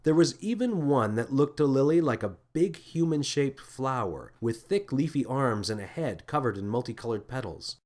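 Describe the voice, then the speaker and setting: A man narrating a scripted children's story in an expressive, deliberate storytelling manner. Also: medium pitch. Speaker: author and narrator.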